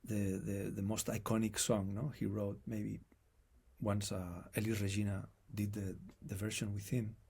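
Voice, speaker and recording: Accented male guest, husky and gravelly, thoughtful and halting. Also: lowish voice, level tone, darkish tone. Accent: non-native English accent. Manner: reflective, halting, restarts phrases often. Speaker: guest musician. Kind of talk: casual conversation.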